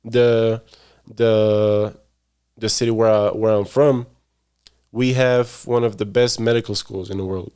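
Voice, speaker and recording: A laid-back man with a somewhat low voice chats easily as a podcast guest. He talks in a loose, unhurried way and circles back over his own phrases. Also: level tone, mellow, clear, resonant tone. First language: English. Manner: laid-back, repeats himself. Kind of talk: casual interview chat.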